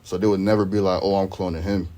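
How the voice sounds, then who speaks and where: Laid-back young man, deep mellow voice. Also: level tone, fast talker. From Sweden. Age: young adult. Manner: laid-back slangy talk. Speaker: rapper guest. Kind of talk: casual interview chat.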